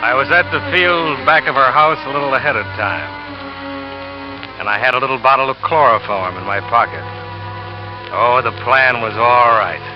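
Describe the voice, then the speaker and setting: A man's voice: mid-range voice, moderate pace, natural voice. An actor playing a desperate man who narrates his own failed murder plot in a 1940s radio drama, with tense, driven narration. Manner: tense first-person narration, rising to panic. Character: desperate man, scheming lover turned trapped victim. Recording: muffled old radio broadcast with music.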